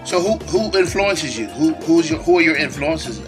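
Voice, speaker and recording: A man's voice: fairly high pitch, lively intonation, normal speed, soft background music. A man co-hosting a radio show, putting casual interview questions to a guest. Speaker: radio co-host.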